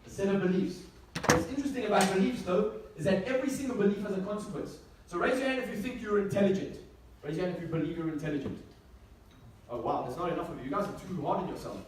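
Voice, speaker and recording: A man's voice: high-pitched, quick pace. Guy doing a motivational seminar, emphatic, asks rhetorical questions, mic far off in a really echoey room. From South Africa. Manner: emphatic, repeats key phrases, rhetorical questions. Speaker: motivational speaker. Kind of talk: motivational seminar talk to a live audience. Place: echoey indoor venue with an audience. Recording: echoey room, distant mic, faint noise.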